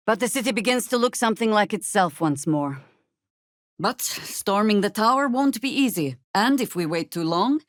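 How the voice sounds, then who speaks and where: A woman playing a wry, battle-worn middle-aged druid in a video game, with sardonic, world-weary, deliberate phrasing. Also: fairly low pitch, dry recording, clean studio sound. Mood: grave, reflective. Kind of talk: video game character acting.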